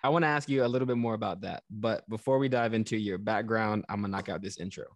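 A man from Texas, hosting a podcast in a relaxed, friendly way. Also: lowish voice, fast, no echo. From Texas, USA. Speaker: podcast host. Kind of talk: casual interview.

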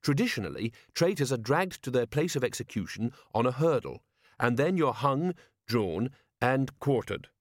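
A man's voice: medium pitch, sing-song, normal speed, no echo, clean studio sound. Earnest young male captain, acted by a narrator, respectful, literal, cheery, fantasy audiobook. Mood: cheerful, informative. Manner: respectful, matter-of-fact.

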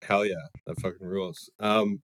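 A man's voice: on the low side, flat delivery, normal speed, fairly bright tone, no echo. A man hosting a casual podcast chat, laid-back and enthusiastic, swearing freely. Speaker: podcast host.

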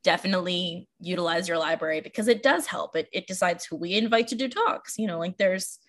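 A woman's voice: lowish voice, a little fast, a bit bright. An American woman from Washington, D.C., chatting casually as a podcast host and librarian, with rambling, self-deprecating asides. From Washington, D.C., United States. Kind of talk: casual chat.

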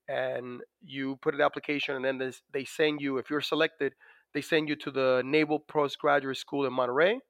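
A man in his forties from the Dominican Republic, with a Dominican Spanish accent, recounting his Navy career in a halting interview manner full of fillers and restarts. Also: fairly high pitch, gravelly, a bit bright, no room sound. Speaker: guest, Navy master chief. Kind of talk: casual interview.

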